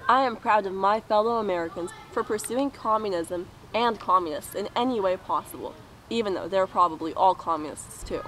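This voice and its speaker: A woman's voice: medium pitch, a little fast, a bit bright, close to an everyday voice, poor audio. Self-righteous American senator, acted by a woman, puppet-show speechifying, earnest and unyielding, faint noise behind.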